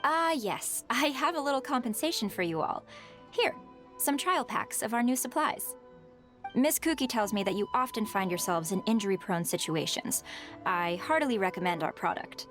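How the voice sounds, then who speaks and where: A woman's voice: on the high side, lively intonation, moderate pace, a bit bright, faint music behind. A woman voicing a courteous, businesslike merchant in a video game, polite and well-spoken.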